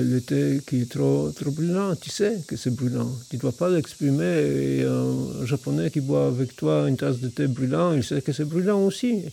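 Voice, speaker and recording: A middle-aged man speaking French with a Polish accent, his voice low and mellow with a gravelly edge. He talks like a Buddhist monk reflecting aloud, halting and pausing as he searches for words. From Poland. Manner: halting, thoughtful, many pauses. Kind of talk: reflective spoken explanation.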